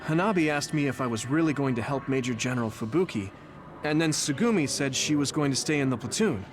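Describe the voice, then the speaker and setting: A man's voice: mid-range voice, animated, fast, music in the background, lightly acted. A man voicing an earnest, determined young platoon commander in a video game, polite and emotive. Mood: puzzled. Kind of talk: video game cutscene acting.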